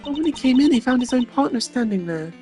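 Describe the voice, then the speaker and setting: A man puts on an eager, loyal male detective from a mystery game, pitched high. He is blunt, excitable and easily puzzled, with music behind him. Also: fast talker. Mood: eager, questioning.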